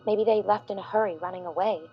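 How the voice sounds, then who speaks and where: A woman's voice: medium pitch, steady tone, fast, muffled recording, soft background music, natural voice. An actress playing a guarded, self-interested woman with a blunt, dry manner in an audio drama. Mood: wary, musing. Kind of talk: narrative podcast acting.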